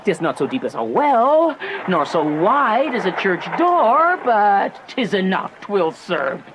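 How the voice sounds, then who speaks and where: A man's voice: sits high, sing-song, slow, noisy background, full character voice. An actor playing a witty, fiery young nobleman in a Shakespearean radio drama, theatrical and mocking. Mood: bitter joking.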